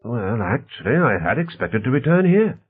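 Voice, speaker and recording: A man's voice: medium pitch, sing-song, normal speed, husky, pitched above the performer's own voice. A man as a courteous titled visitor, phone-like sound. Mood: polite. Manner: courteous, formal, tentative. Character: polite, inquisitive titled male visitor. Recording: narrow phone-like band.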